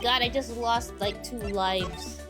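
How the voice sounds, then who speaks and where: Woman game streamer, high voice, giggly casual commentary full of exclamations, music underneath. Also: steady tone, takes it slowly. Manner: giggly, reactive, exclaims often. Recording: music behind.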